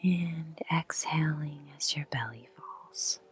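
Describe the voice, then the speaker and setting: Whispering, very breathy low-voiced woman. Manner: soft whispered delivery; slow, soothing pacing with long pauses. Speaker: meditation guide. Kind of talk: guided meditation.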